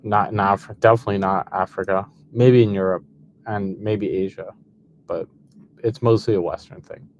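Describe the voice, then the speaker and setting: A young man in his early twenties, chatting loosely and fast, scattering "like" through his sentences. His voice sits a little low, with faint background noise behind it. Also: fairly even intonation, darkish tone, highs cut off. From United States. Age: 20s. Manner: quick, loose, lots of "like". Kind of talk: casual conversation.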